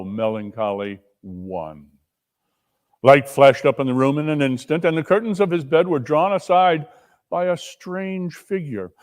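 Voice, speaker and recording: Slow theatrical male narrator in reverberant hall. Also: a little high, a little mellow. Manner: measured, theatrical. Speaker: narrator and performer. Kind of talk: dramatic storytelling reading. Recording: long reverberant hall echo.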